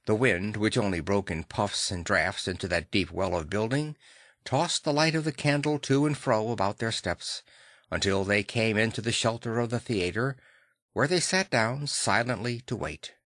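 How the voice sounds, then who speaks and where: A man's voice: on the low side, moderate pace, fairly bright tone, dry recording, clean studio sound. A man reading a classic novel aloud as a dramatic audiobook narrator.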